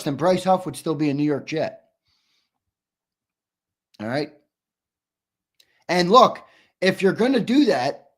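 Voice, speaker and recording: Hoarse, argumentative man hosting sports talk. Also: on the high side. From United States. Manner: argumentative, emphatic, repeats himself for stress. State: losing his voice, hoarse. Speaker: sports show host reading viewer comments. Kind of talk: live-stream sports talk.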